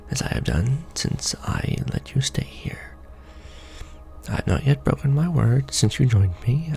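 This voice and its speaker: A man playing a courtly, ancient vampire lord in an ASMR roleplay, speaking intimately and formally in a gravelly, often breathy half-whisper with measured pauses. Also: medium pitch, darkish tone. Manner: formal, archaic wording, measured delivery with pauses. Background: music.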